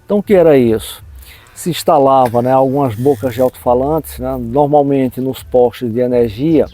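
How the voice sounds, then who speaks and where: A man's voice: medium pitch, moderate pace, mellow. A man in his sixties with a northeastern Brazilian accent, reminiscing in an explanatory way in an interview, often adding tag questions. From backlands of northeastern Brazil. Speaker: storyteller recalling local life, professor.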